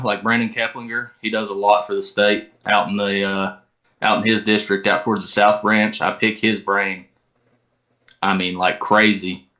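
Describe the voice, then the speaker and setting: A man with a fairly low but bright, forceful voice chats informally as a podcast guest. His phrasing is folksy and conversational. The sound is slightly dull and lo-fi. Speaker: podcast guest, tournament kayak angler. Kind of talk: casual interview chat.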